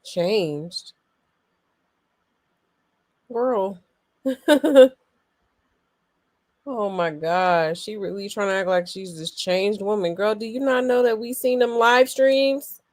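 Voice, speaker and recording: A sassy woman giving gossip commentary at a very slow, drawn-out pace. She is mocking, emphatic and slangy, with a medium pitch and a dry, close sound. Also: no echo. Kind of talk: reaction commentary.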